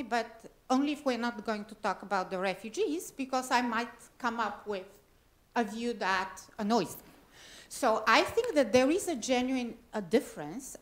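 A woman from Bulgaria, making an earnest, point-by-point comment at a panel discussion in a library. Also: a little high. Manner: earnest, emphatic, argues point by point. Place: library event room.

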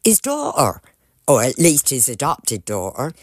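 An actress playing an anxious, deferential old British housekeeper who confides gossip in an audio drama. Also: female voice, extremely low pitch, huge pitch swings, a little slow, slightly rough, no room sound, studio quality, exaggerated voice. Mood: confiding, earnest. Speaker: actor.

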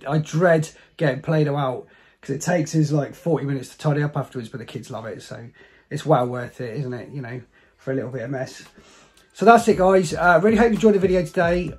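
A middle-aged man chatting casually as a family vlogger at home, his voice going hoarse. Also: fairly high pitch, a little mellow. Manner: chatty, coaxing with his child. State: losing his voice. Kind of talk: casual family vlog chat. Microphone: camera at arm's length.

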